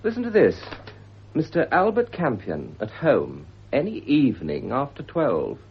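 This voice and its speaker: An actor playing a well-spoken, down-on-his-luck young British gentleman, polite and wry, in a 1950s radio drama. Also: medium pitch, wildly swooping pitch, a little slow, slight background noise. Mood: intrigued. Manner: polite, wry, educated phrasing. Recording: dull, husky 1950s radio recording.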